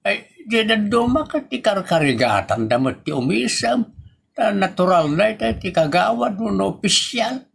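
An older village father with an Ilocano accent , acted by a man for a comedy drama. The voice is gravelly and highly animated. He teases and frets, stammering through repeated interjections in exasperation. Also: moderate pace, full character voice. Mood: exasperated, coaxing.

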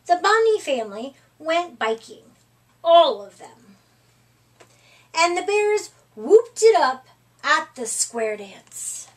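A middle-aged woman reading a children's picture book aloud in an expressive, deliberate storytelling manner. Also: sits high. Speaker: storytime reader. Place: small room at home, indoors. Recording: slightly distant microphone.

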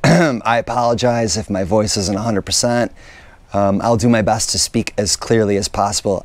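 Hoarse man chatting in his garden. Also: fairly low pitch, no echo. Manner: chatty, relaxed, with many fillers. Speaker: gardening channel host. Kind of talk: casual garden tour vlog. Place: outdoors in a backyard garden.

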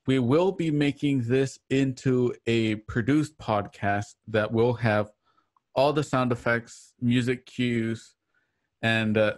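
A man hosting an online radio drama show, chatting casually as he signs off on a livestream. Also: mid-range voice. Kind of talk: casual livestream sign-off chat. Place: indoors at home on a video call.

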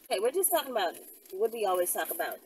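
A woman in her thirties chats candidly to camera while driving, musing aloud with halting, emphatic phrasing. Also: mid-range voice, very animated. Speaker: vlogger. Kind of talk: casual candid solo chat to camera. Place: inside a moving car. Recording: distant mic, loud vehicle road noise. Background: loud vehicle noise.

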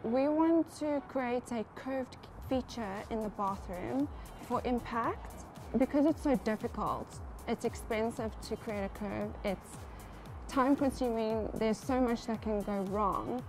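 A woman from South Africa, a contestant talking casually and warmly in an interview. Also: on the high side, measured pace, soft background music, rough recording.

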